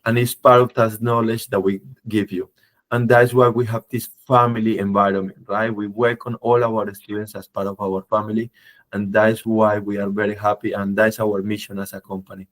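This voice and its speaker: A man presenting a webinar, his voice a bit low and tired from travel, speaking in non-native phrasing with frequent fillers and tag questions. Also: little pitch movement, normal speed, poor audio. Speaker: company head presenting a webinar. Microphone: video call.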